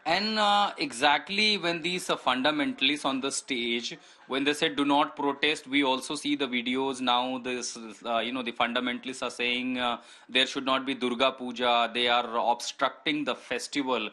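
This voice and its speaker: A man's voice: medium pitch, steady tone, moderate pace, bright, forceful tone. A man conducting a live TV news interview, asking probing questions with occasional fillers.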